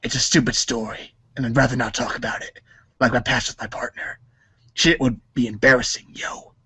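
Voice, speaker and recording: A man's voice: medium pitch, fairly even intonation, brisk, sharp tone, slightly rough, dry recording, exaggerated voice. A man acting a cool, cocky, slangy teenage boy wisecracker in a reader's theater. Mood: evasive, casual. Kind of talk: read-along storybook reader's theater acting, rapping.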